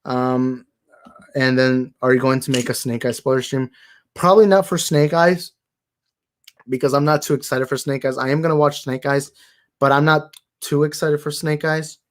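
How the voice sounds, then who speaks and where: A young man hosting a movie talk livestream, tired and slightly raspy, rambling and thanking his viewers. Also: mid-range voice, level tone, normal speed. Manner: rambling, grateful, repeats himself. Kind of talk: casual livestream sign-off chat.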